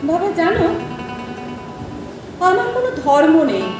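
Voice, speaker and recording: Actress for wronged young orphan turned brave protector: very high, earnest, declamatory, stage radio play, distant in a hall. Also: female voice, very animated, exaggerated voice. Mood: earnest, grateful. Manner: emotive, earnest declamation with drawn-out phrases. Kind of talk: stage audio drama acting in Bengali. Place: large hall, live stage performance. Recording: echoey hall from far off, music behind.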